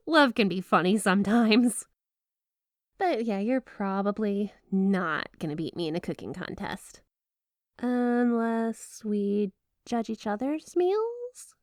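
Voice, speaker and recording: A woman's voice: medium pitch, dry recording, studio quality. A woman playing a flirty, obsessive yandere snow spirit wife in an ASMR roleplay, gushing, coy and sweetly doting. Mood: fond, teasing. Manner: gushing, coy, playfully suggestive. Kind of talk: ASMR roleplay addressed to the listener.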